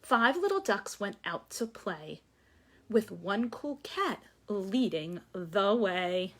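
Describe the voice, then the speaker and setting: A woman's voice: mid-range voice, sing-song, takes it slowly. A woman children's librarian leading a read-aloud and sing-along, speaking to young children and inviting them to join in. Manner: addresses young children, invites counting and joining in. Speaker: children's librarian hosting a storytime.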